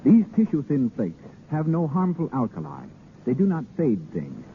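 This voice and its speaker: A man's voice: a little high, huge pitch swings, normal speed. A man announcing in the style of a 1930s radio commercial narration. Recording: noisy, tinny 1930s radio recording.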